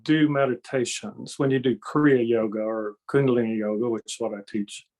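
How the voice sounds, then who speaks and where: A man's voice: medium pitch, fairly even intonation, normal speed, darkish tone. An older man speaking as an interview guest, explaining and telling anecdotes in a folksy, conversational way with frequent check-in tags. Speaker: interview guest, energy healer and kung fu master.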